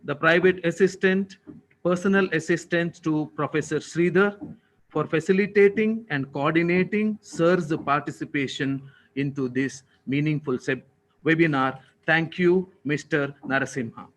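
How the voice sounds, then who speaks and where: A man with an Indian accent giving a formal, prepared thank-you speech as a college official, with deliberate, ceremonious and courteous phrasing. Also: fairly high pitch, poor audio.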